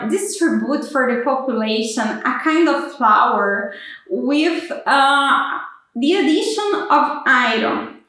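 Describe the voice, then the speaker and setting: High-voiced Brazilian woman in an echoey room. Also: fairly bright tone. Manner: careful non-native phrasing with restarts. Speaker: PhD student. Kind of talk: explanatory vlog. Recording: very echoey room, far from mic.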